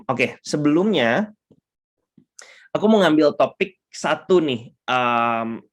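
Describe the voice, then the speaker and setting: A man with a hoarse, congested voice leading an upbeat online class as a trading mentor, often pausing on fillers and mixing in English terms. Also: medium pitch.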